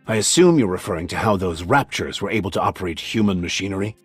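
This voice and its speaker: A man playing a stern, domineering older military commander in a dramatic video game, gruff, scornful and commanding. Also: deep voice, lively intonation, fast, no echo, faint music behind. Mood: guarded, probing.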